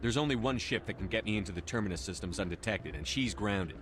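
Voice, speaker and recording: Man acting a fast-talking, driven starship commander. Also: on the low side, music in the background, close to an everyday voice. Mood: grim. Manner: firm, commanding. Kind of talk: dramatic video game acting.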